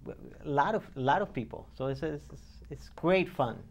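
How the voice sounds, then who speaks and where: A man's voice: fairly high pitch, normal speed, a little hiss or hum. Playful man, chuckling. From Venezuela. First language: Spanish. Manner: playful, chuckling, animated gestures. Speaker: pianist and conductor. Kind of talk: casual interview chat.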